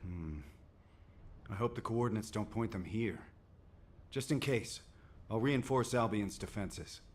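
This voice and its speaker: An actor playing a grave male military commander with a steady, serious briefing tone. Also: fairly low pitch, a little slow.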